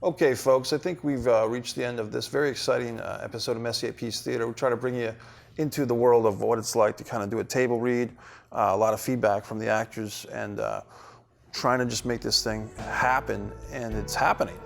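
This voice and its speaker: A man's voice: mid-range voice, brisk, creaky, faint music behind. A man leading a casual table read discussion as director and host, encouraging as he talks through his notes.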